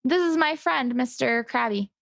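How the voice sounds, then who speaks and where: A woman's voice: high voice, lively intonation, moderate pace, vocal fry, fairly bright tone, dry recording. A woman podcast host improvising comedy as herself, conversational, in a bemused straight role.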